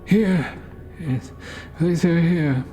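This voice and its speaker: A man voicing a wealthy, menacing old cult leader in a horror story, formal and silkily courteous, with deliberate pauses. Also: fairly high pitch, mellow, faint music behind, voice pushed up. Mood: calm command. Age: older. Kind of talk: horror audio story character.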